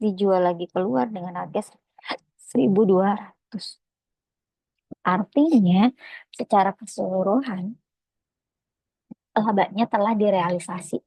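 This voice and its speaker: Husky, mellow Indonesian woman lecturing. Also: mid-range voice, dry recording, low-quality recording. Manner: hesitant, with frequent pauses and filler phrases. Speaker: accounting lecturer. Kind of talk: lecture explaining slides.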